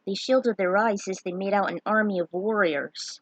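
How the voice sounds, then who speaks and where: A woman's voice: mid-range voice, normal speed, no room sound, poor audio. A woman storyteller reading scripted fantasy fan fiction as narration.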